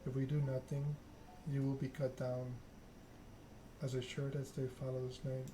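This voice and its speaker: Condescending vampire patroness, read by a man, formal game dialogue, even delivery, noisy background. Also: mid-range voice, a little slow, close to an everyday voice. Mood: coolly bargaining. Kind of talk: ASMR reading of game dialogue.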